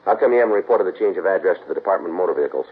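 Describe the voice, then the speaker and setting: A man's voice: deep voice, very fast, breathy edge. A man playing a clipped, matter-of-fact police detective who narrates and questions a suspect in a 1950s radio crime drama. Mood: probing. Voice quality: terse, flat, matter-of-fact. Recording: tinny old radio broadcast, faint hiss.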